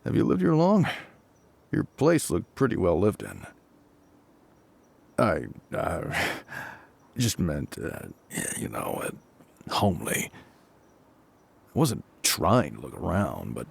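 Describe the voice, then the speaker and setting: A man playing a gruff, kindly middle-aged firefighter captain in an intimate audio roleplay, reassuring and a little awkward, with a gravelly voice. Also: low-pitched, wildly swooping pitch, full character voice. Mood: awkward, curious. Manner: reassuring, slightly awkward, hesitant pauses.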